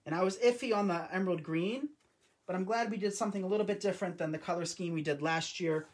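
A man's voice: high voice, quick pace. A man in his early twenties hosting a live crafting stream from a home craft room, talking loosely and conversationally. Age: 20s. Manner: chatty, loose and conversational with frequent restarts. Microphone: camera or phone on a tripod over the table.

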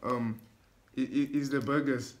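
A man's voice: medium pitch, steady tone, a little slow. A young man, talking casually and hesitantly. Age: young adult. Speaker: prank caller. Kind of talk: casual, halting phone ordering. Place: small living room, indoors. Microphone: camera a short distance away.

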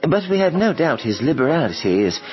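A man playing a sympathetic, pleading gentleman charity collector in a radio play, formal and earnest. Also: fairly high pitch, big pitch swings, a little fast. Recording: dull lo-fi audio with music behind.

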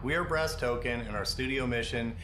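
A man's voice: medium pitch, a little fast. A man presenting a video game as its developer in scripted promotional narration. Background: loud trailer music.

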